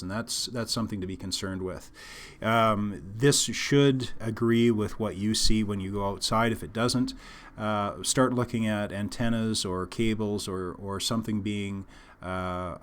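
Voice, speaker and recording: Male technical trainer, lowish pitch, halting and explanatory, unscripted instructional delivery.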